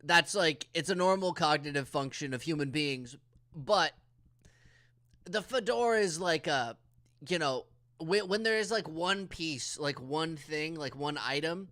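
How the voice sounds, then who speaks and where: A young man with a fairly high, bright voice joins a casual podcast conversation. He talks hesitantly and fills his sentences with "like" as he works out what he means. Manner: chatty, hesitant, leans on "like" as filler. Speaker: podcast co-host.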